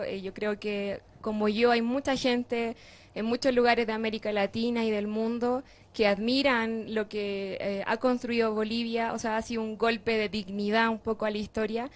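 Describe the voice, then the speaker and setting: A woman's voice: fairly high pitch, little pitch movement, normal speed. A young woman from Chile, answering interview questions in an earnest, reflective way with some fillers. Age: young adult. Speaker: interviewee, youth group member. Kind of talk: group interview.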